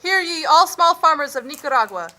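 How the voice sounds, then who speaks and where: A woman playing a callous, bossy official and overseer in an amateur puppet show, speaking in a brisk, commanding way. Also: sits high, lively intonation, pushed and bright. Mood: proclaiming.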